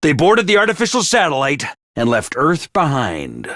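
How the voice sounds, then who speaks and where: A man's voice: on the high side, wildly swooping pitch, moderate pace, fairly bright tone, no room sound, studio quality. A man voicing a chipper, cheerfully ruthless commanding officer of child soldiers in a video game, with bouncy drill-sergeant cheer over cold pragmatism. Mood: explaining. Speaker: voice actor for a video game character. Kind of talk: game character voice lines.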